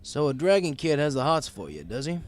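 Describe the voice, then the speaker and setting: A man playing a wry, folksy male pony bartender in an audiobook, casual, sympathetic and gently teasing. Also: fairly high pitch, sing-song, fairly quick, voice pushed up. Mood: curious, sympathetic. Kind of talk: audiobook character dialogue.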